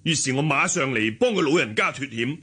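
Forceful, hearty martial-arts lieutenant. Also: male voice, mid-range voice, animated, fairly quick, husky. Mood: forthright. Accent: Hong Kong Cantonese. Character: shrewd, hearty sworn elder brother, loyal martial-arts lieutenant. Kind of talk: Cantonese radio drama acting.

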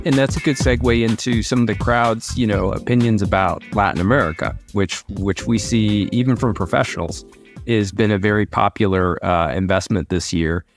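A man's voice: lowish voice, moderate pace, soft background music. A man hosting a podcast, asking a guest a question in a conversational way. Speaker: podcast host. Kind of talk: interview question.

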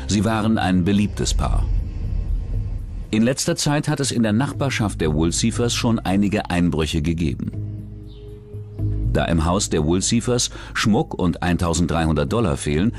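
A man narrating a scripted true-crime documentary in a measured, matter-of-fact manner. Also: low-pitched, a little mellow. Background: background music.

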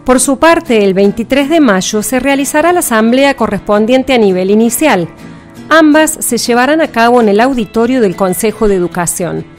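A woman's voice: on the high side, expressive, moderate pace, faint music behind. A woman with an Argentine accent co-hosting a radio show with news reading and talk. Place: radio studio.

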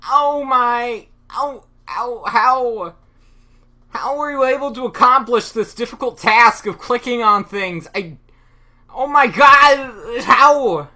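Give me a young man doing a rambling sarcastic solo improv, stammering, with a bright voice. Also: extremely high pitch. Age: young adult. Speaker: channel host.

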